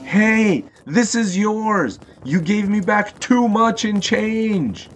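A man's voice: mid-range voice, lively intonation, a little slow, natural voice. A man playing a patient, principled father who talks to his children in an earnest, explanatory way in an audio story for kids. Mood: calling out.

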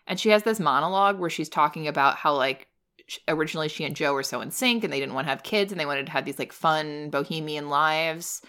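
Low-voiced woman chatting about films. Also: fast talker, bright, forceful tone, smooth. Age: 30s. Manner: chatty, enthusiastic, laughs often. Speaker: podcast co-host. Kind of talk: casual film discussion.

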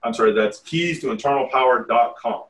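A man in his fifties leads a group meeting as a tai chi teacher. He talks in a loose, rambling, blunt way. His voice is middle-pitched and sounds a little distant from the mic. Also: a little fast, a bit bright. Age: 50s. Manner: rambling, frank, self-deprecating asides. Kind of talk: casual group meeting talk.

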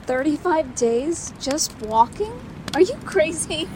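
High-voiced, hesitant woman survivor , acted, noisy ambience. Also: animated, slow pace. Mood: curious. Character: hesitant, vulnerable young female survivor. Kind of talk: naturalistic audio drama dialogue.

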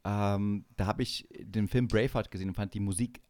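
A man's voice: lowish voice, steady tone, fairly quick, a little mellow, no echo. A middle-aged man casually telling stories as a podcast host.

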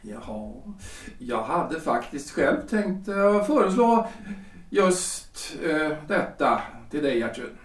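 Man acting a halting, high-pitched politician husband, echoey room. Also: sing-song, far from the mic. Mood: halting agreement. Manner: halting, hemming, formal. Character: hesitant, self-important 43-year-old lawyer-politician husband. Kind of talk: period stage-play audio drama acting. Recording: roomy, echoing, off the microphone.

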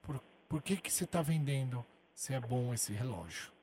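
A man putting on a hushed whispering caller. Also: mid-range voice, animated, moderate pace, husky, no echo, pitched below the performer's own voice. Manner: hushed, half-whispering, keeps asking for quieter talk. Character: soft-spoken man on the phone with sore ears.

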